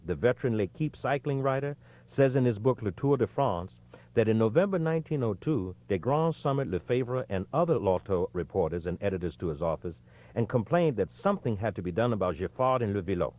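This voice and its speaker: Low-voiced male audiobook narrator, lively intonation. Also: muffled recording. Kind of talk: scripted narration, reading a history book aloud.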